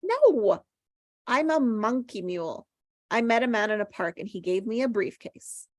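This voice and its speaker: A woman's voice: a little high, big pitch swings, measured pace, dry recording, studio quality. A woman playing a plucky, quirky and defensive young woman at a script table read. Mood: matter-of-fact, defensive.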